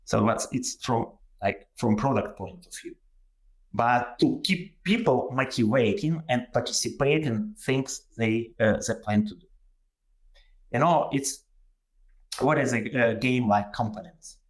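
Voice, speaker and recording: A man with a Ukrainian accent presents in a webinar over a video call. His voice sits in a middle range for a man. He talks haltingly, with plenty of fillers and restarts. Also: medium pitch, low-quality recording. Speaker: guest presenter.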